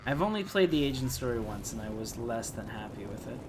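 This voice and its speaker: A man with a medium-pitched voice hosts a game playthrough. He talks casually and conversationally as he comments. There is clear noise behind his voice. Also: big pitch swings, brisk, poor audio. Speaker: gaming video host.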